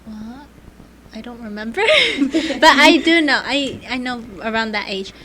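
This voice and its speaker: A woman's voice: a little high, very animated, unhurried, crowd noise. A young woman whose first language is Spanish, co-hosting a student podcast and telling stories in casual chat with hesitant fillers. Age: young adult. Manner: chatty, storytelling, hesitant fillers. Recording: faint background noise.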